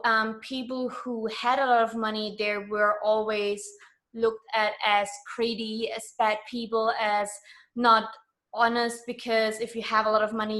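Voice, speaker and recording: Higher-voiced German-accented woman, earnest. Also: a bit bright. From East Germany. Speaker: interview guest. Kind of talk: reflective conversational interview.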